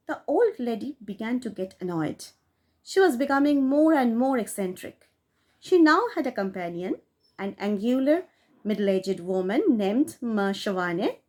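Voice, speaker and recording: A woman reading a classic short story aloud, in a steady storytelling manner that rises for emphasis. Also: a little high. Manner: steady storytelling read with rising emphasis on key phrases. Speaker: audiobook reader.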